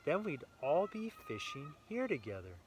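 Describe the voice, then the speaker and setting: A man's voice: on the high side, wildly swooping pitch, normal speed, a little hiss or hum, voice pushed up, rough recording. A man voicing an eager, wistful young girl animal in a storybook, with a childlike manner. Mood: regretful.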